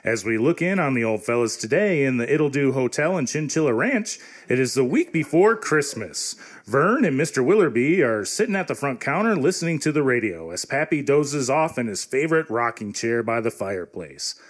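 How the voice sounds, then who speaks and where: A man's voice: mid-range voice, big pitch swings, normal speed. A man narrating as the announcer of an old-time radio show.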